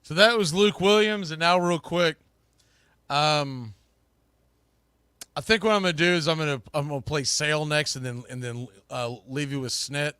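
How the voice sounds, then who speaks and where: A man hosts a sports podcast in a rather high, forceful, clean voice. His delivery is casual and rambling, halting and thinking aloud as he goes. The sound is close and dry. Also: clear, resonant tone, no echo. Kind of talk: casual live sports commentary.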